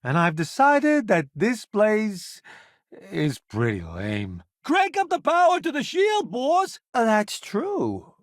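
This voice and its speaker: A man's voice: a little high, dry recording, clean studio sound, exaggerated voice. A man voicing a vain, wisecracking, cowardly food-columnist sidekick in a space video game, with a breezy, theatrical, boastful delivery. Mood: casual, wry, then urgent, alarmed. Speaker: companion character in a space game. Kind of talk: animated video game voice acting.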